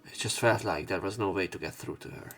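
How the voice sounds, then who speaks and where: A man with a fairly low voice narrates a visual novel as a let's player. He reads dialogue expressively and comments in a casual, blunt way. Soft game music plays behind him. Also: fast. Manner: sweary reactions, expressive dialogue reading.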